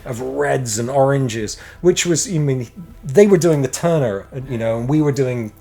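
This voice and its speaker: A man's voice: medium pitch, lively intonation, moderate pace, gravelly, mellow, faint music behind. A middle-aged American man, a podcast guest, talking casually in a rambling way with many restarts and some blunt profanity. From United States. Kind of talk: casual interview chat.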